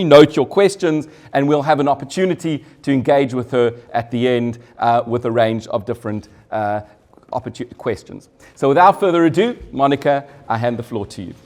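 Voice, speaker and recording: Man giving a polite, halting introduction. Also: mid-range voice, darkish tone. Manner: polite, slightly halting, with restarts. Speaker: event chair introducing the speaker. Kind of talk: formal introduction.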